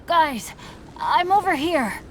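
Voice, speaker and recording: A woman's voice: high-pitched, sing-song, takes it slowly, very noisy, heavily acted. A woman voicing a good-natured teenage boy adventurer in a video game, boyish, hesitant and self-effacing. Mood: dazed. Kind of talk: video game cutscene acting.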